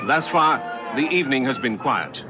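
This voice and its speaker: A man's voice: mid-range voice, animated, moderate pace. A man narrating a vintage police radio drama in a scripted, dramatic style. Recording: vintage radio recording, music behind.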